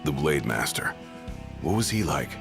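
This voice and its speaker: An actor playing a gruff, proud young royal bodyguard, terse and sardonic, in a video game cutscene. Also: male voice, rumbling low, very animated, normal speed, over music, exaggerated voice. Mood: curious.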